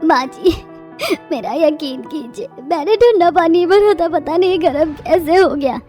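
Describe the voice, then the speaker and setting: A woman voicing a timid, mistreated young daughter-in-law in an animated drama, speaking meekly and pleading. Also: extremely high pitch, a little slow, soft background music. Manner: meek, pleading, soft-spoken.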